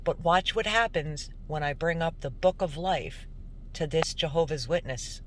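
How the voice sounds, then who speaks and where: A woman giving earnest, emphatic religious commentary as a host, in deliberate narration. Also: low-pitched, lively intonation, a little hiss or hum, poor audio. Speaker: host introducing a recorded call.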